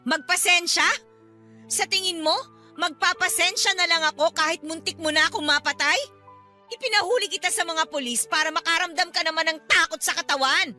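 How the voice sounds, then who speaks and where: A woman's voice: extremely high pitch, brisk, pushed and bright, faint music behind, heavily acted. An actress playing a fed-up, hard-working wife and mother in a Filipino radio drama, scolding indignantly with a Filipino accent.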